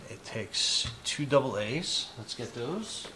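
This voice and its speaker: A man in his fifties giving a casual, unhurried product demonstration, explaining each step informally as he goes. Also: medium pitch, very animated. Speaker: product reviewer demonstrating gadgets on his channel. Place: small indoor hobby room at a desk. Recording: clear background noise, clicks of handled items.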